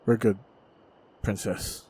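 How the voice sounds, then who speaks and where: A man acting a steady, protective fighter in a fantasy action roleplay, speaking to the listener in short phrases with pauses. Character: steady protective male fighter guiding a princess. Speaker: voice actor in audio roleplay. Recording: noisy, effects-heavy track.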